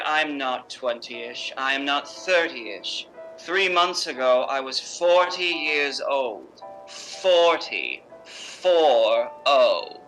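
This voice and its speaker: A man playing an imperious, bitter, witty middle-aged stage actress in a theatrical 1950s radio drama style, with sardonic, drawn-out emphasis. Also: medium pitch, pushed and bright, poor audio.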